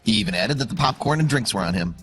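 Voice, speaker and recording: Animated male storyteller over background music. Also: fairly low pitch, fast. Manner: suspenseful, emphatic storytelling. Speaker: narrator. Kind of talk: scripted dramatic storytelling narration for online video. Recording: music under the voice.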